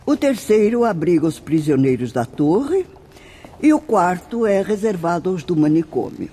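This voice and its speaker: A woman's voice: on the low side, big pitch swings, normal speed, mellow, slightly rough. A Brazilian woman playing a composed, formal spirit sister who instructs newcomers in a radio drama. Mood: composed, instructive. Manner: formal, didactic.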